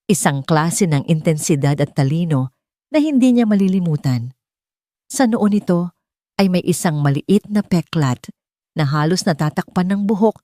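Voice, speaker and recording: A woman narrating a scripted audio drama, telling it slowly and dramatically. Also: on the low side, a little mellow, no room sound, clean studio sound. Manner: slow, dramatic, measured storytelling.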